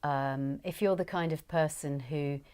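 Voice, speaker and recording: A woman in her fifties talks in a low, warm-toned register as a guest in a studio interview. She is chatty and self-deprecating, telling her stories with animated ease. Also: a little slow, clean tone. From England. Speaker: audiobook narrator, guest. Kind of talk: relaxed interview chat. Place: recording studio.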